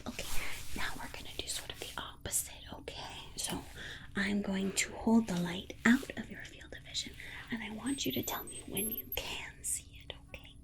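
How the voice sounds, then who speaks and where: A woman's voice: medium pitch, vocal fry. A woman voicing a soothing, condescending female alien scientist in a whispered ASMR exam roleplay, coddling and reassuring with murmured asides.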